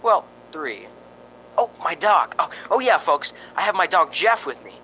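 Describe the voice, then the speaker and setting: A man acts out a jittery radio reporter in an amateur spooky drama. His voice is bright and very lively in pitch, with stammers and folksy wisecracks. A faint rumble sits behind him as he plays the joking skeptic. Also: a little slow, heavily acted, rough recording. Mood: chatty, coaxing.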